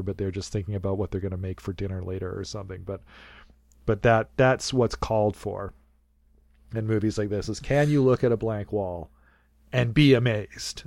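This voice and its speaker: A man in his late forties talks through a film on a podcast, his voice sitting low. He rambles, restarts sentences and drops little laughing asides. The sound is close and dry with no room around it. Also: gravelly, darkish tone, dry recording. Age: 40s. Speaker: podcast co-host. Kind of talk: casual movie commentary chat.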